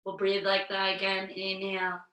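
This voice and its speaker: A woman yoga instructor with a slight head cold, guiding a virtual class through breathing in a slow, soothing way. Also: medium pitch, monotone, sharp tone, reverberant, distant mic, rough recording.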